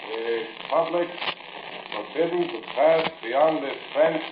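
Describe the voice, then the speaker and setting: A man's voice: on the high side, takes it slowly. An actor playing a blunt, questioning male bank-robber sidekick with a curt manner, in 1940s radio drama style. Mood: blunt, suspicious. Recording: echoey, muffled, noisy old radio recording.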